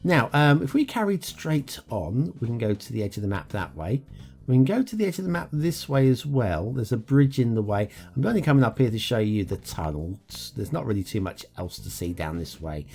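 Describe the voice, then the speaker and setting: A man hosting a map review show, giving relaxed running commentary over game footage with lots of chuckles and ums. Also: mid-range voice, a little fast, creaky. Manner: chatty, self-mocking, chuckles often, trails off with ums. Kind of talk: casual gameplay commentary.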